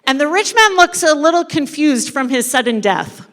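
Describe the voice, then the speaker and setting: A woman giving a playful, dramatic live narration of a story, pausing deliberately as she leads an interactive reading. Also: high voice, sing-song, a bit bright. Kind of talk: theatrical live scripted narration of a parable. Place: large indoor church space before an audience. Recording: echoey hall with long reverb.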